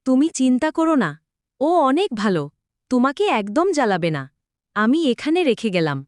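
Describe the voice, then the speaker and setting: A woman's voice: high-pitched, big pitch swings, normal speed, no echo, clean studio sound. A woman voicing a caring, eager young village girl in a cartoon. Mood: reassuring.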